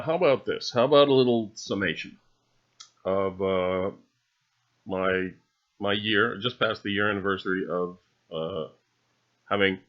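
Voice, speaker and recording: A man narrating an unscripted, rambling voice-over in a halting, musing way, with dry, understated humor. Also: sits low, a bit bright. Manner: halting, musing delivery with long trailing phrases. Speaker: video narrator. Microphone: separately recorded voice-over. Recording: dull, lo-fi voice recording.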